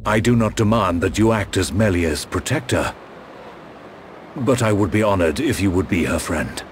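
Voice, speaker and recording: A man playing a solemn, elderly emperor and father in a video game, speaking in a formal, measured way. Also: deep voice, lively intonation, a little hiss or hum. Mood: solemn, gentle. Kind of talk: dramatic video game cutscene acting.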